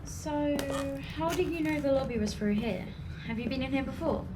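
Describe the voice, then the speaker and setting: Actress doing high voiced young vlogger girl, sarcastic and doubtful, faint noise in the background. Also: moderate pace, lightly acted. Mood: sceptical, dry. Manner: dry, questioning. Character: sceptical, sarcastic young female video maker, uneasy but bold. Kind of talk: naturalistic audio drama acting.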